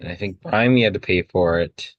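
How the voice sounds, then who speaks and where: A man's voice: deep voice, normal speed, no echo, muffled recording. A man chatting casually about films and scripts as a participant in a table read.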